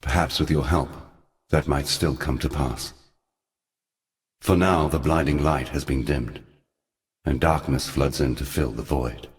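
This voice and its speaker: Sin, a very deep, somber ancient god. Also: male voice, big-room sound. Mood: solemn. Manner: solemn, deliberate, archaic and portentous phrasing. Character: Sin, ancient male god, somber, forgotten, weary protector of humanity. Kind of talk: scripted video game character dialogue.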